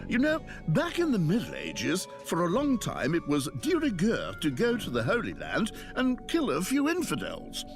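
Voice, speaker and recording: Wry priest with swooping intonation. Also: male voice, sits high, wildly swooping pitch, normal speed, hardly any pauses, over music, heavily acted. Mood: wryly amused. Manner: rambling, drily amused storyteller with chuckles. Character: chatty, wry priest and shepherd of a church. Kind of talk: video game character dialogue.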